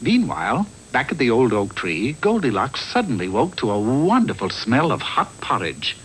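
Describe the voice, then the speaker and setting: A man's voice: medium pitch, wildly swooping pitch, moderate pace, fairly bright tone, a little hiss or hum, low-quality recording. A man narrating a comic fairy tale in a wry, theatrical storyteller's manner, from a script.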